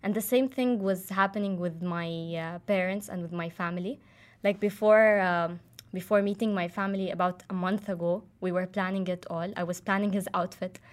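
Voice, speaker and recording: A woman's voice: medium pitch, steady tone, normal speed. A young woman from Jordan, casually telling a personal story with many fillers and restarts. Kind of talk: casual chat.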